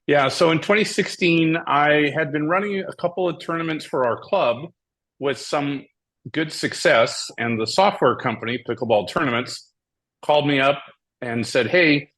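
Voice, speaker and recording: A man from Hawaii, a tournament director guest, telling his story in a steady, methodical way. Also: fairly high pitch, moderate pace, low-quality recording. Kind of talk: casual interview.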